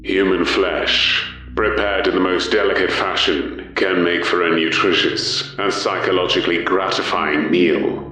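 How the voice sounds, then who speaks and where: A man playing a refined, measured, darkly courteous warrior leader whose rich voice comes through a helmet vox. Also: steady tone, pushed and bright. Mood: calmly macabre. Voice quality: deep, rich. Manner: measured, clinical, polite. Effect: helmet vox filter. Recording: very echoey, distant, faint music.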